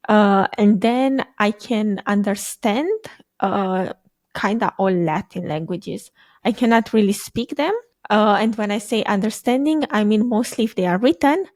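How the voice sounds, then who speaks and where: A woman's voice: mid-range voice, a little slow, a little mellow, no echo. A young woman from Romania, a native Romanian speaker, chatting as a guest on a video-call interview, laughing often and hesitating with fillers. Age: young adult. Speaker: guest, quantum computing researcher. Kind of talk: casual interview conversation. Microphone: video call microphone.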